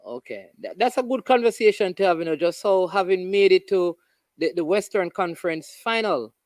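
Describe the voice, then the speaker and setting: A man with a notably high voice hosts a casual sports interview. He asks eager questions with quick interjections. The sound is dry and close. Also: expressive, no echo. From Jamaica. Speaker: show host.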